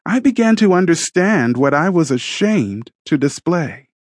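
Guy reading a dramatic story, slightly high husky voice, really expressive and deliberate. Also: no room sound, clean studio sound. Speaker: audiobook narrator and author. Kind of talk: dramatic first-person scripted story narration.

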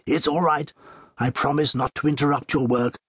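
A man's voice: fairly high pitch, fairly even intonation, normal speed, a bit bright, breathy edge, no echo, studio quality, voice pushed up. A man voicing a jovial, mischievous, bantering friend in an audiobook. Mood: breezy, teasing. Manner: bantering, breezy. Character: jovial, teasing male friend, breezy and mischievous. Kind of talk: audiobook character dialogue.

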